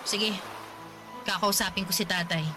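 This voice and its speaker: A woman's voice: medium pitch, steady tone, normal speed, lightly acted. An actress playing a worried young mother and wife, talking in a plaintive, pleading way. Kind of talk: radio drama acting. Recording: voice over drama music.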